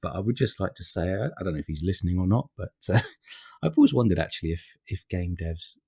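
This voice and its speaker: British man, podcast host, very low voice, husky and croaky from a cold, rambling chat, laughs a lot. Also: animated, quick pace, dark tone. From Britain. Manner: rambling, laughs often, many fillers.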